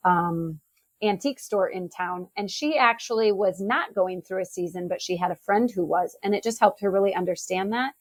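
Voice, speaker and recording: A woman's voice: mid-range voice, normal speed, no echo. A middle-aged American woman chatting casually about books as a podcast guest. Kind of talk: casual book chat.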